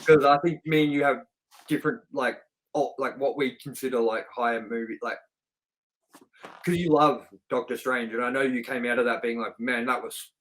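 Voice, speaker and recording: A man's voice: medium pitch, fairly even intonation, normal speed, a bit bright, slight background noise, rough recording. A man hosting a casual film review chat, speaking in an informal, chatty way with some swearing. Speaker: podcast host and film reviewer.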